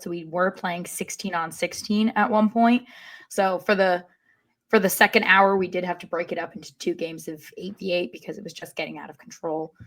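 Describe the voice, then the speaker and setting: A woman's voice: mid-range voice, fairly bright tone. A woman from upstate New York chatting casually as a podcast panelist. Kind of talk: casual chat.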